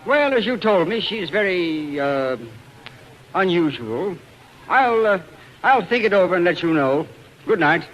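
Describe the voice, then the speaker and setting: An actor playing a courteous, hesitant and noncommittal theatrical impresario in a 1940s radio drama. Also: male voice, high-pitched, expressive, fairly bright tone, dull audio. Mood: polite, reserved. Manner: hemming, measured politeness. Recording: noisy vintage 1940s radio recording.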